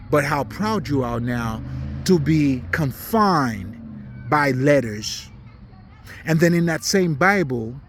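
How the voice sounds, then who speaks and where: Blunt, profane Haitian man over background noise. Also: mid-range voice. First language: not English, as a Haitian. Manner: blunt, profane, slangy, emphatic with repetition. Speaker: spiritual commentator. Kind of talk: unscripted monologue, casual preaching to viewers.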